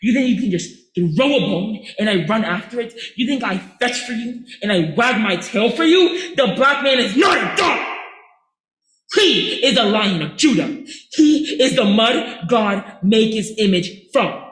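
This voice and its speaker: A young man acting a fiery, defiant older man in a theatre monologue, booming with a preaching cadence. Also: lively intonation, measured pace, a bit bright. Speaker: student actor doing a monologue. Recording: echoey room.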